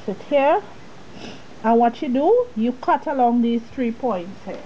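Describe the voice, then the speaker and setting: A fairly high-voiced woman with a cold gives a casual step-by-step sewing tutorial. She talks straight to the viewer, chatty and easygoing, with a faint hum of room noise behind her. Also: lively intonation, unhurried, dark tone, muffled recording. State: has a cold, sniffling.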